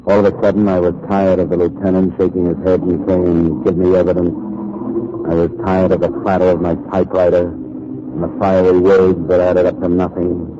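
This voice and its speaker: An actor playing a hard-boiled, wisecracking newspaper columnist in 1940s radio noir, speaking tersely and toughly. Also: male voice, low-pitched, level tone, normal speed, lightly acted. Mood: brooding narration. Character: hard-boiled, defiant male newspaper columnist narrating his story. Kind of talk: 1940s radio noir acting and first-person narration. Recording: noisy, muffled 1940s radio recording.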